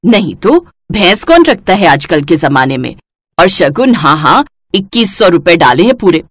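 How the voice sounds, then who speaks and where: A woman's voice: fairly low pitch, big pitch swings, normal speed, no echo. A woman voicing a nagging, easily embarrassed middle-aged mother-in-law in a cartoon family story. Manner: nagging, chiding.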